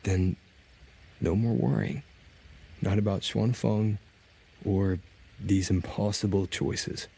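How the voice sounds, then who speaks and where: A resolute male companion who speaks calmly and gently. His voice sits low and gravelly, with a husky, mellow tone. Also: takes it slowly, exaggerated voice. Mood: reassuring. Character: steady, resolute male companion reassuring a friend. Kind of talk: video game voice acting.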